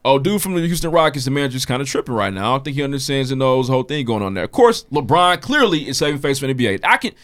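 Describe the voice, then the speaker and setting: A man's voice: mid-range voice, expressive, very fast, few pauses, fairly bright tone. A man co-hosting a podcast, telling a news story in loose slang with plenty of swearing. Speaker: podcast co-host. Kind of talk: casual chat.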